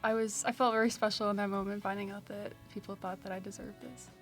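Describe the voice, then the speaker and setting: A teenage girl from South Africa, a high school student, answering interview questions shyly and softly. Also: steady tone, quick pace, faint music behind. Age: teens.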